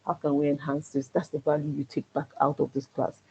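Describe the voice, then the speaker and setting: Man, trainer, loud voice, mellow tone, hesitant with ums, online class, lo-fi call audio. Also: fairly high pitch, monotone, a little fast, vocal fry, breathy edge. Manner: hesitant, many ums and restarts. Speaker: security trainer. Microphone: computer mic on a video call. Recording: dull lo-fi video call audio.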